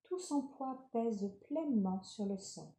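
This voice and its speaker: Female meditation guide, very soft dark timbre, soothing and unhurried, recorded in a roomy space. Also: on the high side. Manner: calm, unhurried, gentle instructing. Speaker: yoga nidra relaxation guide. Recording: roomy, reverberant sound.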